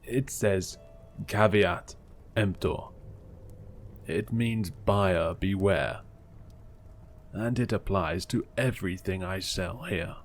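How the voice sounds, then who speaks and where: A man voicing a gruff, stubborn old male shopkeeper who answers bluntly in a low, slow drawl.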